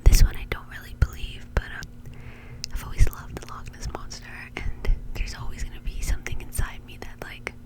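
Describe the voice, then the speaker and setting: A woman's voice: fairly quick, poor audio. A woman whispering in an informal, chatty way, rambling casually as an ASMR host.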